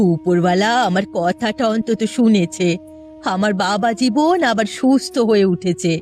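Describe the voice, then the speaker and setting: একজন মহিলার অভিনয়ে গ্রামের মমতাময়ী, উদ্বিগ্ন মাঝবয়সী শাশুড়ি. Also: female voice, mid-range voice, moderate pace, darkish tone, soft background music, natural voice. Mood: relieved, caring. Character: anxious, upright middle-aged village mother-in-law. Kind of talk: cartoon voice acting.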